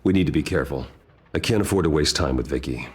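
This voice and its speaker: Serious young billionaire, very deep voice, husky, calm and measured. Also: male voice. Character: grave wealthy young man, secret vigilante. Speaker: game character.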